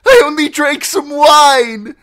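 A shrill, squealing captive nobleman in a fantasy audiobook. He whines and pleads very high, frantic and self-excusing, with wildly swinging pitch. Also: lively intonation, takes it slowly, a bit bright, full character voice. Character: cowardly, pleading captive lordling, adult man, whining and shrill. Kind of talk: audiobook character acting.

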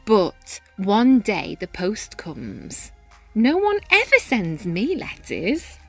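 A woman reading a children's picture book aloud in an expressive, animated manner with dramatic pauses and emphasis. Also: medium pitch, gravelly, slightly rough, faint music behind. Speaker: read-aloud storyteller, teacher-style reader.

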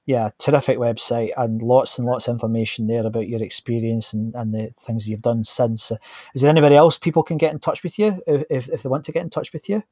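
A man's voice: mid-range voice, fairly even intonation, fast talker, warm tone, breathy edge, no echo, clean studio sound. A man in his fifties hosting an online interview in an informal, appreciative, conversational way. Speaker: host of an online interview show. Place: small home studio, indoors. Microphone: close studio microphone on a stand.

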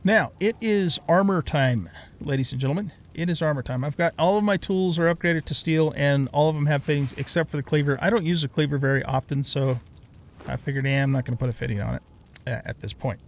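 A middle-aged man with a voice pitched a little higher than most men's. He hosts a gaming video in a casual, unscripted way. He tends to ramble, talking through each step as he thinks of it. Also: fairly quick. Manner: rambling, thinking aloud as he plays. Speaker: gaming YouTuber, host. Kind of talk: casual let's play commentary.

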